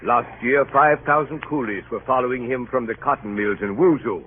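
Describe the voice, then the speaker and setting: An actor playing a sardonic Dutch colonial port inspector, with a Dutch accent and deliberate, mock-polite foreign phrasing, in an old-time radio drama. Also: male voice, mid-range voice, close to an everyday voice. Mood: emphatic. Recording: scratchy, tinny old radio broadcast.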